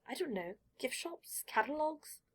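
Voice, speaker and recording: A woman voicing a precocious, indignant young boy with a pedantic manner that turns shrill when annoyed, in an audiobook story. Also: big pitch swings, moderate pace, fairly bright tone. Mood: sarcastic.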